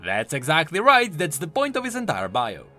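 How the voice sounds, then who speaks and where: A man's voice: fairly high pitch, wildly swooping pitch, moderate pace, bright, forceful tone. A man hosting a video, giving scripted commentary on a game character in an explainer style. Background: music.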